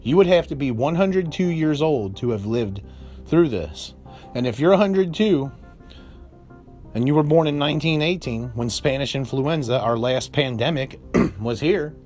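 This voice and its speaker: A man's voice: medium pitch, animated, moderate pace, a little mellow, faint music behind. An American man hosting a solo podcast, talking in a worried, rambling way and leaning on repeated words for emphasis. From United States. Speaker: podcast host. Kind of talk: anxious solo podcast monologue.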